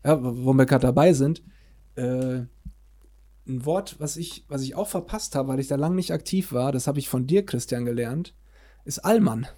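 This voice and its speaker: A man's voice: mid-range voice, normal speed, warm tone. A young man chatting as a podcast guest, amused and a little hesitant. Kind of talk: casual podcast chat.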